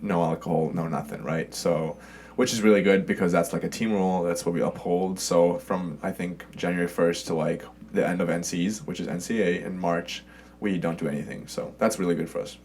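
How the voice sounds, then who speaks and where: A young man raised in Sweden talks easily as an interview guest, loose and chatty, full of "like" and "you know". His voice sits very low. A faint hum runs underneath. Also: steady tone, a little fast, a little mellow. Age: young adult. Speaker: guest, college swimmer. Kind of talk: casual interview chat.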